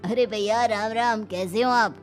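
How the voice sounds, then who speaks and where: A woman's voice: on the high side, steady tone, measured pace, faint music behind, natural voice. A woman voicing a plain-spoken village husband in a cartoon story. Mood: agreeable. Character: village husband, agreeable to elders, nagging his wife.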